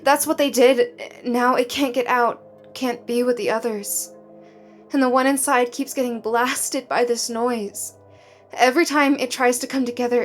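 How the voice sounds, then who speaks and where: Idealistic female recruit, played by a woman, audio drama. Highish voice, emotional, indignant, halting. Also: close to an everyday voice. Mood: distressed. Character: horrified, defiant young woman, idealistic new department recruit.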